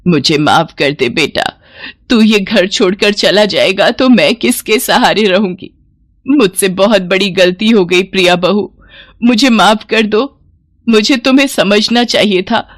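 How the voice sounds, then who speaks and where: A woman's voice: medium pitch, no room sound, clean studio sound, lightly acted. Woman acting a dramatic older Indian-family mother-in-law. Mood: remorseful. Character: older mother-in-law, emotional, doting then scolding. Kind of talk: animated moral story voice acting.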